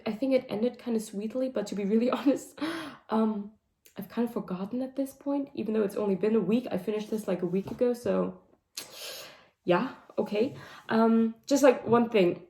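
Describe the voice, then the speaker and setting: A woman with a hoarse, raspy voice talking casually through a book wrap-up, with lots of "like" and "um" fillers. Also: fairly high pitch, moderate pace, dark tone. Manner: rambling, full of "like" and "um" fillers. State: hoarse, voice sounding deep and raspy. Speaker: book vlogger. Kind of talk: casual monthly reading wrap-up chat. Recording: roomy echo.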